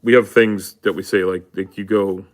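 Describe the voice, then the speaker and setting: Brief: a man, a bit low voice, casual live stream host, rambling and profane. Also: steady tone, moderate pace. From Canada. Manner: rambling, profane, full of fillers. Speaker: livestream host. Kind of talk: casual livestream rant.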